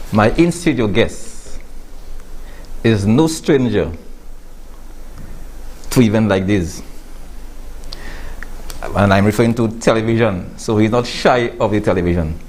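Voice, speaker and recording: A man's voice: lowish voice, takes it slowly, long pauses, a little mellow, low-quality recording. Man, TV sports host, chatty, laughing, stumbles and repeats words, studio interview. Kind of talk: studio talk show interview.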